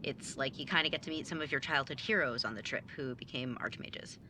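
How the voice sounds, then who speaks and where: A woman playing a bookish, high-strung young mage student in an audio drama, sarcastic and emphatic in a naturalistic way. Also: fairly quick, noisy background. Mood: earnest, defensive.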